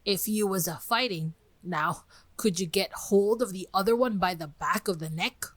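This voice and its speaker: A woman voicing an old gray male wasp in a yellow wig, querulous and blunt, with rustic, uneducated turns of speech in a dramatic storybook reading. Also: medium pitch, moderate pace, husky, dry recording, close to an everyday voice. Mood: blunt, critical.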